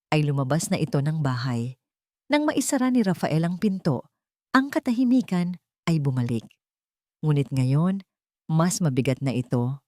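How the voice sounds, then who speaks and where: A woman's voice: sits low, mellow, no echo, clean studio sound. A woman with a Filipino accent reading scripted, dramatic story narration.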